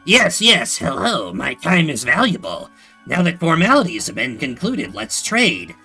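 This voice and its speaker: A haughty video game nerd with a sharp, piercing, raspy voice. He is pompous and impatient, speaking in stilted formal phrases that swing wildly in pitch. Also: huge pitch swings, a little slow, soft background music, full character voice. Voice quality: nerdy, harsh, creaky. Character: pompous, haughty, socially awkward male gamer nerd trader.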